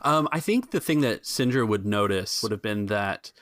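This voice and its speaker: A man's voice: lowish voice, expressive, moderate pace, fairly bright tone, dry recording. A man running a tabletop roleplaying game, casually narrating and giving rulings. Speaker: game master.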